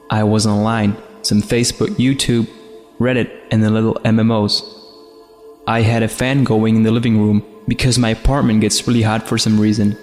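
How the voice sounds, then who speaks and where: A man's voice: lowish voice, darkish tone. A man reading a scripted first-person horror story as its narrator. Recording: long reverb, faint music behind. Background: faint music.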